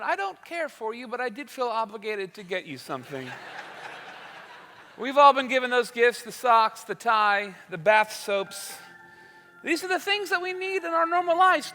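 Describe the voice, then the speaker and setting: A man's voice: very high voice, bright, forceful tone, exaggerated voice. A man playing a jovial, wry old-time radio announcer, delivering comic sponsor spiels and monologues. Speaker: radio show announcer and host. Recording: echoey hall, faint music.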